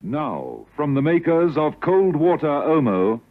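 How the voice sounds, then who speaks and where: A man's voice: a little high, big pitch swings, takes it slowly. A man announcing a vintage radio serial in dramatic, scripted promotional style. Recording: muffled old broadcast under loud theme music.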